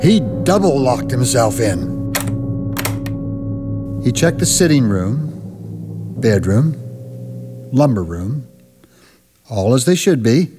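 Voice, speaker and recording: A man narrating a radio drama as a storyteller, in a measured, scripted, dramatic style. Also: medium pitch. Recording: loud music behind the voice.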